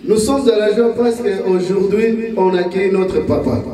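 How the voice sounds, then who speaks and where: Um homem pregando em francês numa igreja, com pausas para a tradução. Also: male voice, high voice, distant mic. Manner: pauses for translation, lively. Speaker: church speaker. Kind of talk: sermon in French with interpreter. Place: church gathering. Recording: echoey hall recorded from afar. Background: congregation.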